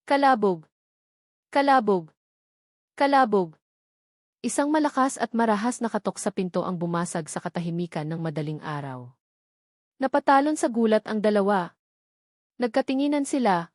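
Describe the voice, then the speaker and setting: A woman narrates a scripted story dramatically, in short, measured, suspenseful phrases. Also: medium pitch, no echo, clean studio sound.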